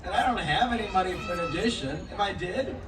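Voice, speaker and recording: A man playing a wry village rabbi in a live puppet show, measured and knowing, drawing out his words. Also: high voice, expressive, a crowd in the background. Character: wry, unhurried village rabbi, a male sage. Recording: echoey live show, distant mic.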